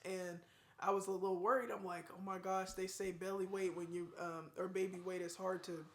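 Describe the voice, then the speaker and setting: A woman's voice: lowish voice, flat delivery, a little fast. Chatty young mother in an echoey room. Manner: chatty, rambling. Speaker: vlogger, new mother. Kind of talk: casual chat.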